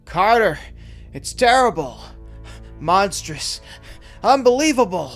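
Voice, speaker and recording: A man's voice: very animated, slow, fairly bright tone, faint music behind, heavily acted. A man voicing a terrified, doomed man crying out from a vault in a strained, shaky, frantic voice, in a horror story reading. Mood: horrified awe. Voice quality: strained, shaky, pushed high. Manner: frantic, urgent pleading.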